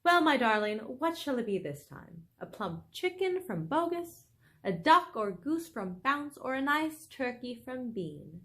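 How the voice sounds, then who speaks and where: Woman reading as male fox, suave, self-assured, affectionate husband, storybook, very animated intonation. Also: high voice, measured pace, exaggerated voice. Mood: charming.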